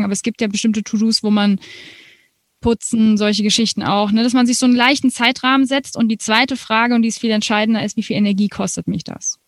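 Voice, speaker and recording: A woman's voice: mid-range voice, no echo, clean studio sound. A woman in her twenties, a musician being interviewed, talking casually with frequent laughs and fillers. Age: 20s. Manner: animated, laughs often, many fillers. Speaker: musician guest.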